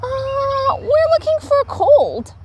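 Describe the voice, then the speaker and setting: A woman voicing an excitable, earnest little boy raccoon in a children's storybook. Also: extremely high pitch, slow, heavy background noise, voice pushed up, exaggerated voice. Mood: confident, eager.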